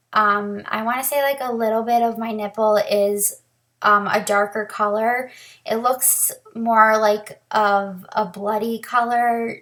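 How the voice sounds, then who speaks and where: A young woman with a raspy, dry throat after surgery, talking candidly to camera in a casual vlog, with frequent pauses and restarts. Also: a little high, pushed and bright. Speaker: vlogger sharing her surgery recovery.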